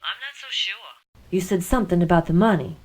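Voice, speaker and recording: A woman's voice: on the low side, lively intonation, brisk, lightly acted. A woman acting in an audio drama as an anxious, protective, loyal friend with an earnest, insistent manner. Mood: worried, caring.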